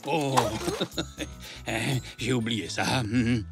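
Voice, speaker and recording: A man's voice: mid-range voice, very slow. A man voicing a kindly, forgetful old grandfather zebra in a children's cartoon, hesitant and playful. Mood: absent-minded. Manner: hesitant, chuckling, expressive. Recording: music behind the voice.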